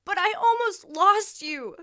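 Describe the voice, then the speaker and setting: A woman's voice: very high-pitched, lively intonation, a little slow, pushed and bright, full character voice. An actress playing a clingy, overwrought woman in an audio drama, frantic with worry, pleading and insistent. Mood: clingy, distraught.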